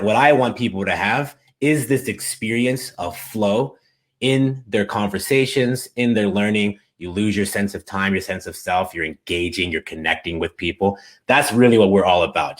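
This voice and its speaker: Man, lowish voice, animated casual storytelling, interview guest. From United States. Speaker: interview guest, language coach.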